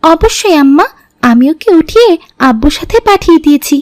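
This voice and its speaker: Woman as very high-voiced, polite young daughter. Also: big pitch swings, a little fast, dry recording, clean studio sound, soft background music. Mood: earnest, respectful. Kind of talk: Islamic cartoon dialogue.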